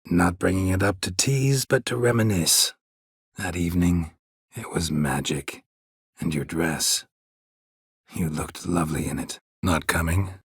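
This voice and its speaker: A man playing a gruff, stoic, sardonic monster-hunting mercenary in a video game, terse and deadpan with a gravelly voice. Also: sits low, measured pace, no echo, clean studio sound, exaggerated voice. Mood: tender, wistful. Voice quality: gravelly, husky. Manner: terse, deadpan, clipped sentences. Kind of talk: video game dialogue acting.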